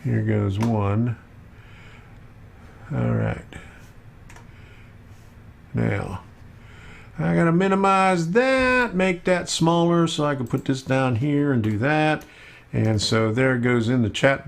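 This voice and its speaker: Slow-talking man hosting a live stream. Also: a little high, gravelly. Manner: slow, unhurried, with many fillers while working on screen. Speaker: host of a model railroading live stream. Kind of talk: casual live-stream chat.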